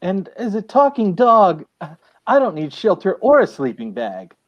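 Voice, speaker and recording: A man's voice: sits high, huge pitch swings, a little slow, exaggerated voice. A needy talking hound played by a man in a radio comedy, wheedling and easily offended. The delivery swings up and down, and the sound is dull and lo-fi. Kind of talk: radio comedy acting over video call. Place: room at home, video call. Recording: dull lo-fi video-call audio.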